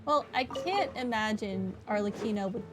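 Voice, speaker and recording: A woman's voice: mid-range voice, expressive, moderate pace. A woman giving casual, playful, wry commentary while playing a video game. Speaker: game player commenting on a playthrough. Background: faint game music.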